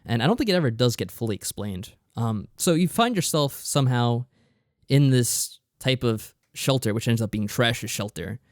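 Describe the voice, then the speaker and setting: A man's voice: mid-range voice, expressive, moderate pace, dry recording, studio quality. A man co-hosting a podcast, explaining things in a casual, matter-of-fact review style. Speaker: podcast co-host reviewing visual novels.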